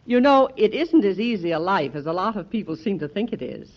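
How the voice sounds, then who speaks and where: An actress in a 1940s radio drama playing a president's fussing, long-suffering wife with a wry, gently scolding manner. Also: female voice, mid-range voice, big pitch swings, a little fast. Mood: wry, put-upon. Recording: dull old radio recording.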